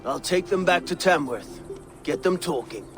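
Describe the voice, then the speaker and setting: Blunt Viking warrior. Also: male voice, fairly high pitch, expressive, normal speed, a bit bright, faint music behind. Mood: brisk. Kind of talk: dramatic video game acting.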